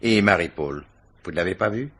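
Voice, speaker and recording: An actor playing a formal, persistent police commissioner questioning witnesses in a French radio mystery play. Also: male voice, deep voice, fairly bright tone. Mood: probing, suspicious. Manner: formal, insistent questioning with deliberate hesitations.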